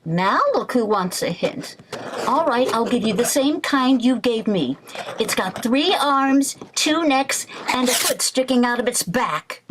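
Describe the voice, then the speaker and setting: A woman's voice: on the high side, animated, unhurried, background noise. A woman playing a frazzled, wry, weary housewife in 1940s radio comedy style, with dramatic exclamations. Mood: wry, exasperated. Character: frazzled, stubborn young housewife knitting late, wry and weary.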